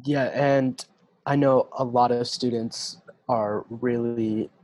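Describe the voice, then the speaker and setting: A man co-hosting a podcast, asking a guest questions in a measured way, with "uh" and "um" fillers. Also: medium pitch, fairly even intonation, a little mellow. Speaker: podcast co-host. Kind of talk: interview questions.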